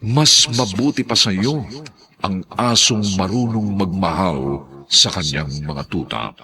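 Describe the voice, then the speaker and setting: A man announcing a radio drama in a formal, theatrical way, reading scripted narration and credits with a gravelly, husky voice. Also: on the low side, bright, forceful tone.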